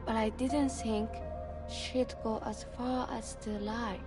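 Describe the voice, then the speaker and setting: An actress playing a heartsick young woman in a video game, earnest, halting and sorrowful as she longs for a lost love. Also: a little high, fairly even intonation, music in the background. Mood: sorrowful, regretful. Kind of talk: dramatic video game acting.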